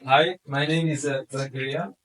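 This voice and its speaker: A man's voice: medium pitch, steady tone, normal speed, a bit bright, recorded from a distance, rough recording. A man introducing himself at a workshop in a friendly, slightly rambling way. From Morocco, raised in Italy. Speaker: workshop participant.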